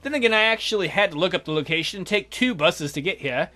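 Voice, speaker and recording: A man hosting a let's play, reading a visual novel aloud with expressive, wisecracking commentary. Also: high-pitched, quick pace. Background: music.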